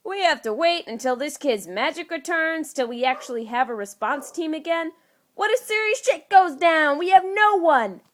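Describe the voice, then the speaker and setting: A woman voicing a gruff, exasperated male spy director who scolds bluntly and swears, in a storybook reading. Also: sits high, animated, moderate pace, pitched above the performer's own voice.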